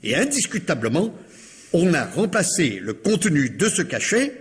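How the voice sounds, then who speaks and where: An actor playing a courteous, dutiful police commissioner who speaks in a formal, apologetic way, in 1950s French radio drama style. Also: male voice, fairly high pitch, very animated, moderate pace. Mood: formal, explanatory.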